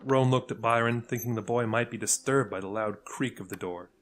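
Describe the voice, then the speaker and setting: A man's voice: mid-range voice, a little fast, background noise. A man narrating a scripted audio fiction story. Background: faint music.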